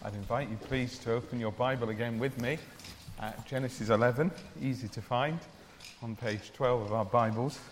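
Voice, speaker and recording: A man preaching a church sermon in an earnest, deliberate way, with declarative phrasing. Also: mid-range voice. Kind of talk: evangelical church sermon with Bible reading and prayer. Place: large church or hall, speaking to a congregation. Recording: reverberant hall, long echo.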